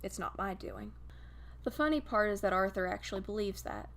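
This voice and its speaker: A gentle guardian angel voiced by a woman tells an intimate story of a beloved human. The voice has a creaky edge and a wistful, grieving temper, in the manner of an audio storybook. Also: mid-range voice, lively intonation, a little fast. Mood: tender, wry.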